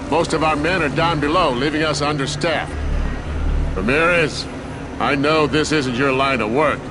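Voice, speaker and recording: A man plays a stern base commander in a military video game. His voice sits a little high for a man, urgent and authoritative, and loud music runs behind it. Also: natural voice. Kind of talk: scripted game cutscene acting.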